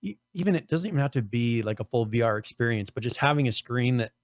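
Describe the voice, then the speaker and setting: A man co-hosting a casual gaming podcast, losing his voice, his throat strained and cracking on high notes, rambling with many restarts. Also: lively intonation, fast talker, dry recording, studio quality. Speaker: podcast co-host.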